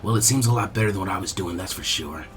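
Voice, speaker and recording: Man voicing a low, lazy teen ninja. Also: fast talker, fairly bright tone, faint music behind. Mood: wry, lazy. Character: lazy, laid-back, wry teenage boy ninja.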